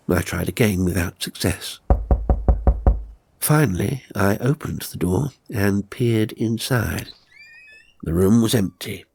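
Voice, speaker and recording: A man narrating a horror story as an audiobook reader, in a deliberate, suspenseful and theatrical way. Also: fairly low pitch, creaky, darkish tone, slight background noise. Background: faint music.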